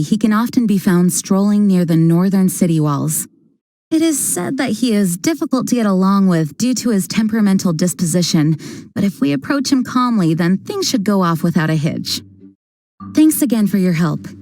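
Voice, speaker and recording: Composed female commander in a video game; mellow, measured and formal. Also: medium pitch, very animated, no echo. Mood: calm. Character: calm dutiful female knight leader, composed. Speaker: knight commander.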